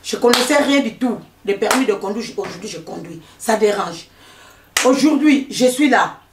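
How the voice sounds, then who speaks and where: A woman's voice: fairly high pitch, animated, normal speed, distant mic, noisy background. A woman in her forties from Congo, raised in Angola, who tells her story with emphasis and repeats her points. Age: 40s. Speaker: guest. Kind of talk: personal testimony in a discussion. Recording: echoey room, speaker off mic.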